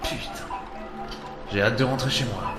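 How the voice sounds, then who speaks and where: A dry, sardonic adventurer played by a man, worn down and gruff in temper, with a mid-pitched voice. His audio-drama lines echo with long reverb over music. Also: measured pace, lightly acted. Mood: weary, brooding. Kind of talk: audio drama dialogue and inner monologue.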